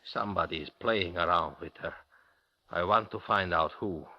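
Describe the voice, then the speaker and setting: An actor playing a brooding, jealous butcher in a 1950s radio thriller, terse, heavy and suspicious. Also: male voice, low-pitched, moderate pace. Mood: suspicious, menacing. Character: brooding, jealous, menacing husband, a working butcher. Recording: dull vintage 1950s radio recording.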